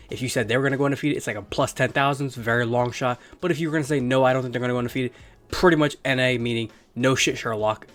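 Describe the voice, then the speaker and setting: A young man hosting his own sports talk video, congested from illness, rambling casually and swearing now and then. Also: mid-range voice. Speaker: sports channel host.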